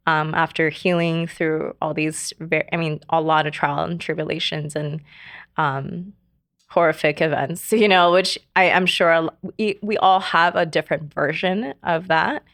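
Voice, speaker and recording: Low-voiced woman telling her story softly. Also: fairly even intonation, no echo, studio quality. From South Korea. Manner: soft-spoken, many fillers and trailing pauses, laughs often. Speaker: podcast guest. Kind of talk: casual interview, personal story.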